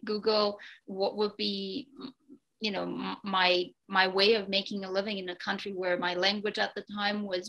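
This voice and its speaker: A woman in her forties from Romania, speaking English with a Romanian accent as a guest on a video call, pausing often with ums and restarts. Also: mid-range voice, gravelly, fairly bright tone, poor audio. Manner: hesitant, many ums and restarts. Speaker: guest, real estate broker. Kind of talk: casual interview talk. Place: home room, indoors.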